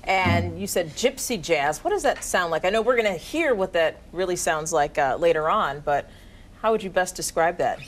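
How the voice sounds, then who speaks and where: A middle-aged woman hosting a live morning TV interview in a studio, upbeat and quick to laugh, on a lapel mic. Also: fairly low pitch, lively intonation, slight background noise. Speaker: morning TV news host. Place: TV news studio.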